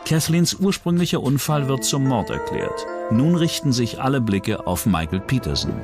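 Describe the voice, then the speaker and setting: A man reading scripted true-crime documentary narration in a measured, dramatic way. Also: mid-range voice, big pitch swings, music in the background.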